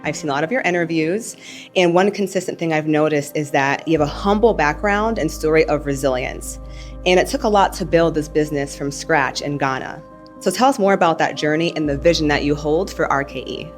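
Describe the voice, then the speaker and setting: A woman's voice: deep voice, a little fast, faint music behind. A young adult woman, a first-generation Ghanaian raised in the United States, moderating a panel event in an upbeat, welcoming way.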